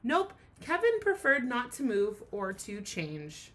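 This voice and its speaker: A woman's voice: fairly high pitch, huge pitch swings, slow, fairly bright tone. A woman teacher giving a children's read-aloud lesson, speaking expressively and explaining things in simple terms.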